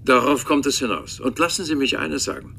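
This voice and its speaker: An actor playing a defensive, haughty Cambridge professor in radio drama dialogue, formal, testy and self-justifying. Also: male voice, medium pitch, very animated, brisk, creaky, pushed and bright, slight background noise, exaggerated voice.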